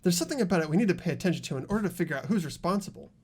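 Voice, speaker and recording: A man's voice: sits high, lively intonation, very fast, darkish tone, slightly rough, heavily acted. A man voicing an earnest young male student who puzzles out clues in an amateur visual novel game dub. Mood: thoughtful.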